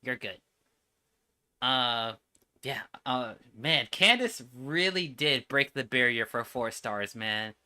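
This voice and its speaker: A man gives casual reaction commentary as host, blunt and given to repeating himself. His voice is very bright and forceful, and he takes his time. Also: mid-range voice, animated, slow.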